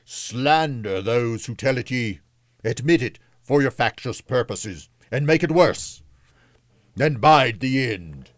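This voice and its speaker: A man's voice: medium pitch, expressive, slow pace, dry recording, studio quality, pitched above the performer's own voice. A man voicing a solemn, sorrowful spirit who warns of doom in a grave, emphatic storybook reading. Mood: impassioned. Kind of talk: dramatised classic audiobook reading.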